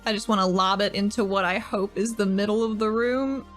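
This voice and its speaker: A woman at a tabletop game with a medium-pitched voice. She sounds eager and swears casually. Faint music plays behind her. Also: steady tone, fairly quick, fairly bright tone. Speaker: player.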